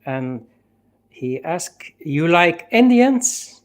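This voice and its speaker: A woman off camera relaying a guest's questions to the chef, hesitant and halting. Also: on the low side, big pitch swings. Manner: hesitant, halting, full of fillers.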